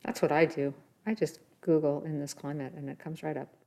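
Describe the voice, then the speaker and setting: A woman's voice: sits low, fairly even intonation, a little fast, darkish tone. A woman co-hosting a podcast, talking in a casual, conversational way. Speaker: podcast host.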